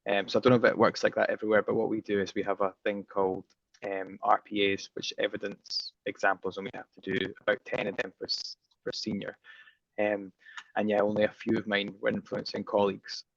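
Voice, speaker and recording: A man's voice: fairly low pitch, monotone, fairly quick, vocal fry, dry recording. A man joining a video call to share a casual comment. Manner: casual, self-deprecating. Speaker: participant. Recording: muffled video call audio.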